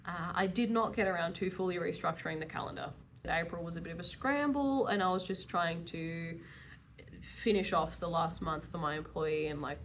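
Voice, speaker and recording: A woman's voice: lowish voice, a bit bright, a little hiss or hum. A woman in her early thirties talking casually and candidly in a rambling, chatty vlog style, with self-deprecating asides. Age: early 30s. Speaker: vlogger, self-employed dog groomer.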